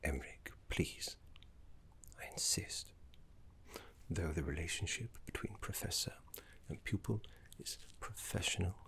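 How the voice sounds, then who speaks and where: A man playing a genteel, fussy, courteous professor of necromancy in an ASMR roleplay, speaking in a soft, breathy, formal way with dramatic pauses. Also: low-pitched, heavily acted. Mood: courteous, intimate.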